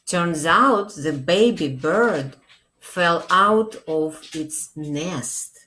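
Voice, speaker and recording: Very slow-paced woman reading to children. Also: on the low side, a little hiss or hum. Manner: deliberate, expressive, frequent check-in questions. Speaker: children's librarian and storytime host. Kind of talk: read-aloud storytime addressed to young children. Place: small indoor room, plain wall.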